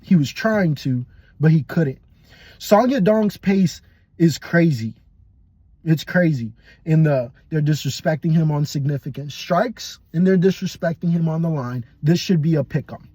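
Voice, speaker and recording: A man's voice: a little high, a little slow, dark tone, husky, dry recording. A young adult man casually giving blunt, profane, rambling fight predictions as a podcast host.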